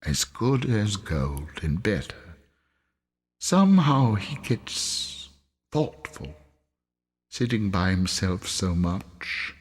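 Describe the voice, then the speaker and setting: Storybook father, played by a male reader: poor, tender, wistful, halting and slow, melodic swings in pitch. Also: sing-song, slightly rough, heavily acted. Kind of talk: audiobook character reading.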